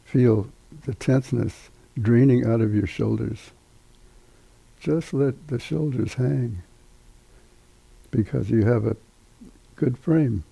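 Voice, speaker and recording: Meditation teacher, older male, very dark mellow tone, husky, halting delivery with long pauses. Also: medium pitch, no room sound, poor audio. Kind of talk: guided meditation instruction.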